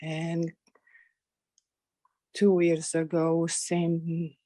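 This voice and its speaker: A woman speaks slowly and haltingly on a video call. Her voice is low, dark and flat, and the sound is dry and close. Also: no echo. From Romania. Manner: halting, with many pauses. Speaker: caller asking for guidance.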